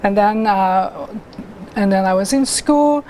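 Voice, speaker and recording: A middle-aged woman, telling her life story as a guest artist in an interview, haltingly, with many restarts and pauses. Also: mid-range voice, mellow, a little hiss or hum. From Hong Kong.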